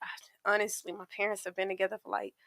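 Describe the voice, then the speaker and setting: A woman's voice: medium pitch, little pitch movement, fast, sharp tone, no room sound, clean studio sound. A woman in her twenties from Arkansas with a Southern American accent, talking with lots of energy and emphasis as a podcast guest. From Arkansas, USA. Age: 20s. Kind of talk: casual interview chat. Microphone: mic at some distance from the mouth.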